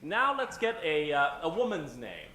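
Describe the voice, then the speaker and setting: A man's voice: a little high, huge pitch swings, normal speed, sharp tone. A man emceeing a live improv show on stage in a playful, theatrical manner. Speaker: improv show emcee taking audience suggestions. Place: stage before a live audience. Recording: roomy live stage recording.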